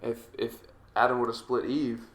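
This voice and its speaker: A man's voice: medium pitch, normal speed, a little hiss or hum. A young man co-hosting a podcast, teasing and joking in casual chat. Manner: playful, teasing, animated. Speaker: podcast co-host. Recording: roomy echo, faint music.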